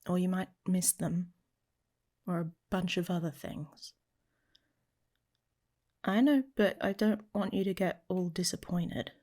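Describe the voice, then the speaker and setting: A woman playing a flirtatious adult werewolf woman in an intimate ASMR roleplay, murmuring slowly in a breathy, often whispered voice. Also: lowish voice, fairly even intonation, warm tone. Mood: casual, gently cautioning. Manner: slow, murmuring, with hums and questioning pauses. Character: flirtatious, teasing adult she-wolf woman, a werewolf lover.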